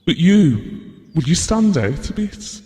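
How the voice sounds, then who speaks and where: A man playing a gentle but frank, cynical stranger who watches people, in an audio drama. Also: fairly high pitch, sing-song, slow pace. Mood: friendly, tentative. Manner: apologetic yet blunt. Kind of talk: naturalistic audio drama acting. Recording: long reverb on the voice.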